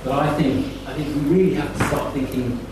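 A man reflecting haltingly as a panellist in a live discussion, repeating words as he thinks. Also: mid-range voice, fairly even intonation. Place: live festival panel in echoey room. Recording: very echoey, distant mic, background noise.

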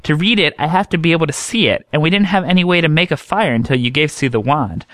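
A man's voice: on the high side, fast talker, fairly bright tone, no echo, pitched above the performer's own voice, lightly acted. A man voicing an earnest young man who explains his strange dreams in a thoughtful, reasoning way.

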